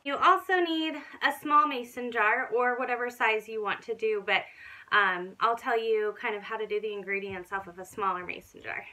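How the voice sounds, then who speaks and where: A North American woman in her thirties hosts a homely recipe video. Her voice is high and strikingly bright, with a sharp forward edge. She talks to the camera in a friendly, enthusiastic, chatty way. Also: normal speed, rough recording. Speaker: vlog host. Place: small wood-panelled living room.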